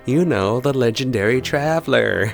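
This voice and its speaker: A woman's voice: a bass voice, animated, normal speed, creaky. A woman giving casual, off-the-cuff game reaction commentary in a teasing, sarcastic manner. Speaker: reaction video host. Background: game music.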